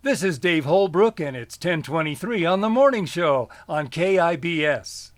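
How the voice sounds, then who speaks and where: A man's voice: a little high, animated, normal speed, a little hiss or hum, close to an everyday voice. A man playing a businesslike morning radio host in a comedy sketch, with polished announcer delivery, trying to keep order.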